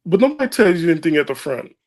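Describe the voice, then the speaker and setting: A man's voice: a little high, sing-song, rapid-fire. A man hosting a casual podcast chat, joking and laughing often. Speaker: podcast host.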